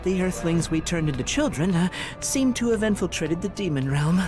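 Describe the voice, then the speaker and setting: A man's voice: sits high, animated, normal speed, music in the background. A man playing a subservient demon henchman in a game, reporting formally and a little hesitantly to his master. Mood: dutiful, uneasy.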